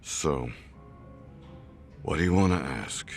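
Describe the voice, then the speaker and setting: A man voicing a boozy, world-weary old former yakuza boss with a gravelly, rambling delivery in an English game dub. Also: a bass voice, slow pace. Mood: reminiscing. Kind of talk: video game cutscene dialogue. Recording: music behind the voice.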